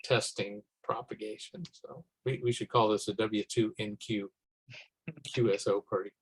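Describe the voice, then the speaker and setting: A man talking informally on a conference call, pausing between phrases as he thinks. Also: on the low side, fairly even intonation, slightly rough, low-quality recording. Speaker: meeting participant. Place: remote teleconference.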